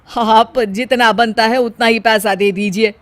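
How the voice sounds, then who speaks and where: A woman voicing a village milkman in a story, defensive and aggrieved, protesting loudly. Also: fairly high pitch, steady tone, unhurried, no room sound, lightly acted.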